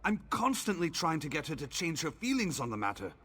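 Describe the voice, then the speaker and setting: An actor playing a blunt, earnest, protective man in a fantasy game, forceful in manner. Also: on the high side, big pitch swings, a little fast, slightly rough, full character voice. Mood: worried, earnest. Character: earnest, protective, blunt male werewolf ally. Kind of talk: game dialogue acting.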